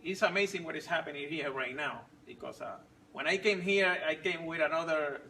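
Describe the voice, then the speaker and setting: A man in his forties, not a native English speaker, telling his story slowly with many pauses and restarts. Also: fairly high pitch, bright, forceful tone, distant mic, a little hiss or hum. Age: 40s. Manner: halting, many fillers and restarts. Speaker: fit club member sharing his story. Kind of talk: casual personal story.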